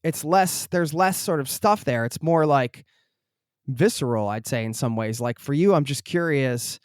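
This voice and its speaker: A man's voice: medium pitch, expressive, unhurried, smooth, no echo, studio quality. A man hosting a travel podcast, reading a friendly intro and then chatting casually with his guest. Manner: upbeat, friendly, joking with guest. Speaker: podcast host.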